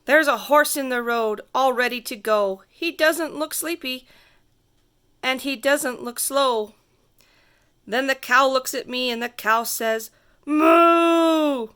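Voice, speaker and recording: A woman reading a rhyming picture book aloud to children in a theatrical, sing-song way with drawn-out emphasis. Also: sits high, pushed and bright, clean tone. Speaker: storybook reader.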